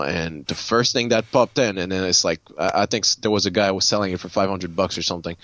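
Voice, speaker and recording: A man from Haiti telling a personal story casually, in a halting way with repeated words. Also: on the low side, fairly bright tone, no room sound, highs cut off. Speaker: guest photographer and designer.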